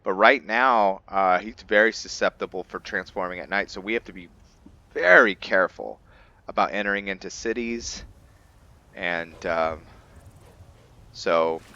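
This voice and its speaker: A man giving casual let's play commentary on a fantasy role-playing game, halting as he thinks aloud. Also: sits low, pushed and bright, dull audio. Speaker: gaming channel host narrating a playthrough.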